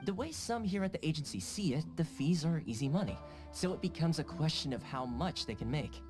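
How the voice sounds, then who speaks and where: A man's voice: a little high, animated, fairly quick, music in the background, lightly acted. An actor playing a courteous, easygoing and shrewd young male fixer in a video game. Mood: relaxed, explanatory.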